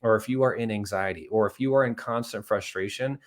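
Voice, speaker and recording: A man's voice: lowish voice, a little fast. Earnest man preaching money and faith. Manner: earnest, conversational, with many asides to "guys"; acts out little dialogues and tells anecdotes. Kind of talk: motivational video talk to viewers.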